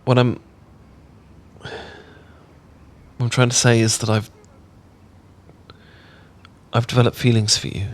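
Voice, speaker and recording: A British man playing a shy, tender roommate in a romantic ASMR audio roleplay, speaking in a gentle, halting, earnest way. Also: medium pitch, flat delivery, lightly acted. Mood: nervous, earnest. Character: shy, tender male roommate confessing love.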